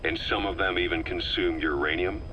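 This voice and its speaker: Deep, forceful military officer. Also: male voice, steady tone, normal speed, highs cut off, slight background noise. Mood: inquisitive. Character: tough, suspicious military right-hand man, probing and blunt. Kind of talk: video game cutscene acting.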